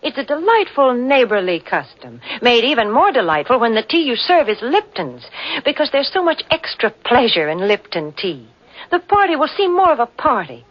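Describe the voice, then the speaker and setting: A woman with a higher, lively voice delivers a cheerful, polished sales pitch. Her voice is dull and dated, like an old radio broadcast. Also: moderate pace. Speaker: announcer reading a tea ad. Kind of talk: 1940s radio commercial.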